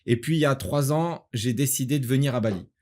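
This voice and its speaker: A man's voice: medium pitch, a little fast, darkish tone. A man from the south of France hosting a casual podcast as a property agent, explaining things by asking and answering his own questions. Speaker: host, real estate agent.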